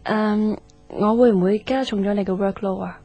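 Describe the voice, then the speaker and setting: Radio drama actress as timid young office colleague: mellow yet husky, polite, apologetic, tentative. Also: female voice, medium pitch, normal speed, natural voice. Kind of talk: Cantonese radio drama acting.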